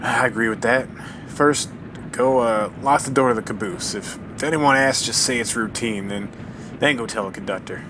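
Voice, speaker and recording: A man's voice: moderate pace, natural voice. Man playing a plain-spoken sleuth amid train noise. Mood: measured, deliberating. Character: matter-of-fact male amateur sleuth in Old West. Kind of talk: period radio mystery drama acting. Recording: train rumble behind the voice.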